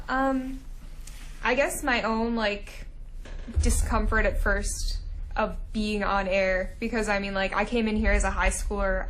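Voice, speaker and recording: A woman's voice: medium pitch, a bit bright, a little hiss or hum, poor audio. Young woman telling a rambling story. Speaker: campus radio show co-host. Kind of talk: casual interview chat.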